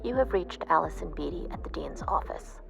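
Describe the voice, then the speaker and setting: Polite female office voicemail, low, heavy vocal fry, audio drama, music underneath. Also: lively intonation, brisk, heavily acted. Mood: formal, polite. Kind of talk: recorded voicemail greeting.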